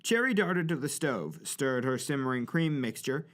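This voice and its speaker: A man's voice: medium pitch, sing-song, normal speed, fairly bright tone. A man narrating a scripted story for an audio drama.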